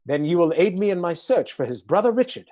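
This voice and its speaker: A dark, scheming villainous earl. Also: male voice, high voice, very animated, quick pace. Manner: smooth, menacing, condescending. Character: scheming noble male earl, cold and commanding. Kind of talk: radio play acting.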